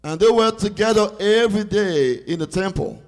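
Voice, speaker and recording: High-voiced man interpreting a sermon. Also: expressive. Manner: echoes the preacher in short phrases, halting searches for words. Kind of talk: live consecutive interpreting of a sermon. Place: church service.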